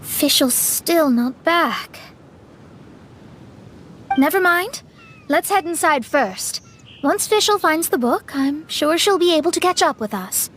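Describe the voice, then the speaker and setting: An actress voicing a composed young woman adventurer who reasons aloud in a measured way. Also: sits high, lively intonation, a bit bright, a little hiss or hum, heavily acted. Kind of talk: game voice acting. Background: music.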